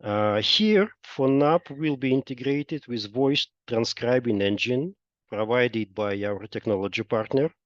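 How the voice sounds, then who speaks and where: Male webinar presenter, unhurried and halting, explanatory delivery, slightly dull lo-fi sound. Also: medium pitch. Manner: halting, explanatory, frequent pauses and hesitations. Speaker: software company presenter. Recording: dull lo-fi roomy online webinar audio.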